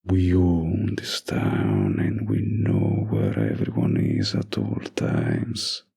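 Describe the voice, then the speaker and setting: Casting: male actor for a young mob boss boyfriend in romantic audio roleplay; raspy, unhurried drawl, menace mixed with tenderness. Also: a bass voice, very animated, dark tone, exaggerated voice. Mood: irritated, contemptuous. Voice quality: gravelly, husky. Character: dominant young mafia boss boyfriend, menacing yet doting.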